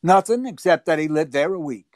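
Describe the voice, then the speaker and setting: An actor playing a hesitant, conciliatory police detective, plain-spoken and halting, in 1940s radio drama style. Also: male voice, fairly high pitch, animated, darkish tone, no echo, studio quality, close to an everyday voice. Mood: matter-of-fact.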